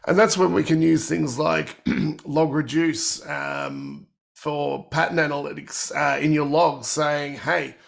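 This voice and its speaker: A man with a cold and a croaky, gravelly voice, presenting a technical workshop and walking through product features. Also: on the high side, lively intonation, takes it slowly.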